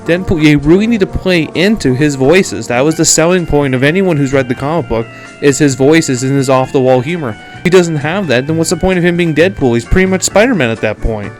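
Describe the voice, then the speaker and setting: A man's voice: a little high, fairly quick, faint music behind. Emphatic Canadian man hosting a podcast. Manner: blunt, emphatic, swearing. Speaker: podcast host. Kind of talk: opinionated film commentary.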